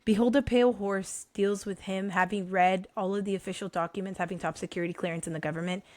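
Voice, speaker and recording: A young woman vlogger, hoarse from recent illness, talking casually and animatedly to her viewers about books. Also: medium pitch, fast talker, no room sound. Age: young adult. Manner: animated, addresses viewers as guys, many restarts. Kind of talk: casual direct-to-camera talk.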